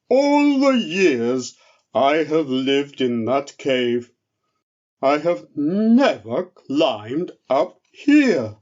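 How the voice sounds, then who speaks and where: A man voicing a huge, sleepy, friendly brown bear in a children's storybook, speaking with slow, drawling politeness. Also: on the high side, a little mellow, lo-fi sound, heavily acted. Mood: slow wonder.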